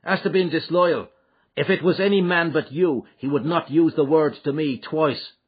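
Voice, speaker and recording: A man voicing a bold, hearty young gangster in an audiobook, cocky and quick to answer back. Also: a little high, slightly rough, dull audio, voice pushed up. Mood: defiant. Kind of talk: audiobook character acting.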